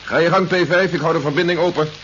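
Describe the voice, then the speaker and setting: A man's voice: a little high, fairly even intonation, fast talker, lightly acted. Man acting a terse army general, dull old recording. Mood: brisk, commanding. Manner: terse, authoritative. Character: weary, commanding male army general on alert. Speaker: actor in a radio play. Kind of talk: 1970s science fiction radio drama acting. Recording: dull 1970s radio recording, faint hiss.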